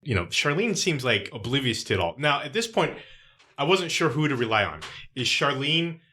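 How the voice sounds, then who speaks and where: A man's voice: medium pitch, big pitch swings, moderate pace, pushed and bright, slight background noise. A man hosting a casual book club discussion, talking through a story thoughtfully. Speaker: podcast host.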